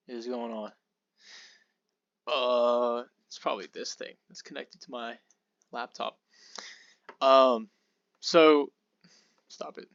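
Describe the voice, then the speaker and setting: A young man with a slightly hoarse voice hosts a podcast, talking through a Bible passage in a relaxed, rambling way. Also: medium pitch, dry recording, highs cut off. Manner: relaxed and rambling, with fillers and asides. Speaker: podcast host. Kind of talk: casual Bible study talk.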